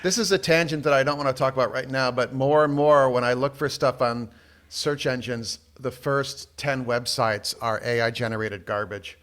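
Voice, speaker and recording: A man's voice: medium pitch, normal speed, fairly bright tone. A man hosting a podcast in casual chat, curious, asking questions and reading bits from the web. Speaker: podcast host.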